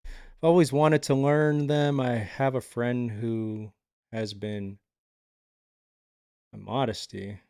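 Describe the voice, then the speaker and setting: A man's voice: on the low side, darkish tone, dry recording, studio quality. A man casually chatting with his live viewers from home, laid-back and rambling with lots of filler words. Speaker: livestreamer.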